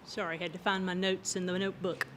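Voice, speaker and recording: A woman presenting at a graduation ceremony, speaking briskly with an apologetic manner. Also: fairly low pitch, flat delivery, a bit bright, a little hiss or hum. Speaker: faculty presenter. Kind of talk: ceremony announcement.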